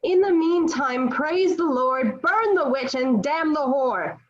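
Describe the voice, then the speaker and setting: Woman playing proud sharp-tongued young heroine, high-pitched, declamatory, defiant, dramatic table read, muffled recording. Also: lively intonation, slow pace. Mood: scornful, fiery. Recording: dull, lo-fi video call audio.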